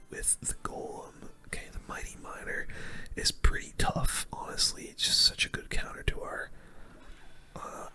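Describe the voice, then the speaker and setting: A person giving ASMR gameplay commentary in a breathy whisper, using casual gamer slang. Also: sharp tone. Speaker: gaming video host.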